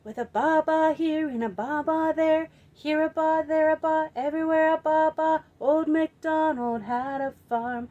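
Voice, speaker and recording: A woman presenting a children's storytime, singing and telling stories in a playful, expressive way. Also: sits high, dull audio. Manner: playful, expressive, drawn-out emphasis.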